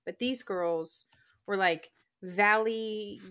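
A woman with a high-pitched, childlike voice co-hosting a casual book club podcast, emphatic and exasperated. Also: extremely slow, fairly bright tone, clear, resonant tone. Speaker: podcast co-host.

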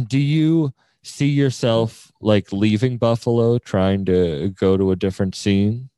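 Guy doing a podcast interview, mellow relaxed voice, super dry close sound, slangy n encouraging. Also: lowish voice, slow, no echo. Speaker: podcast host interviewing a musician. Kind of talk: casual conversational interview.

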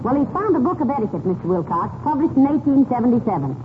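Wry American wife, tinny noisy radio. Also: female voice, high-pitched, very animated, fast. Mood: dry, exasperated. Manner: wry, teasing. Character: sardonic, sensible wife who deflates her husband. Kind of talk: 1940s radio comedy acting.

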